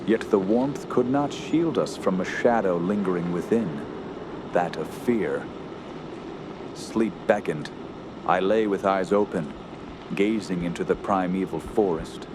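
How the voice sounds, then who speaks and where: An actor playing an earnest, fearful gentleman camper who tells a horror tale in solemn, literary radio drama style. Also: deep voice, unhurried, background noise. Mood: brooding dread. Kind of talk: radio drama narration and dialogue.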